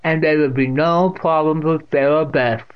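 A man voicing a stern, eerie deaf old woman of at least eighty in a storybook reading, with slow, drawn-out, commanding speech. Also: a little high, steady tone, clear, resonant tone, lo-fi sound, pitched above the performer's own voice, natural voice. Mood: impatient, insistent.

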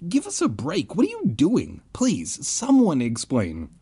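A man's voice: high-pitched, huge pitch swings, unhurried, creaky, warm tone, slightly rough, pitched above the performer's own voice, exaggerated voice. A man voicing a frightened, lost child in a storybook, pleading insistently. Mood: desperate.

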